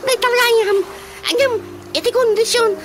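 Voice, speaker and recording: An extremely high-pitched, taunting female figure with an Ilocano accent, giggling and teasing slowly in a radio comedy. She speaks over music. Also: steady tone. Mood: mocking, gleeful. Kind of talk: radio drama comedy acting. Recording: music behind the voice.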